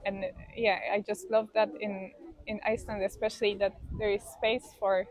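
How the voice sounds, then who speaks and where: A woman speaks at a middling pitch. She is in casual, reflective conversation and feels her way through each thought, hesitating as she searches for words. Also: little pitch movement, normal speed, no room sound, poor audio. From Poland. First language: Polish. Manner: hesitant, thinking aloud.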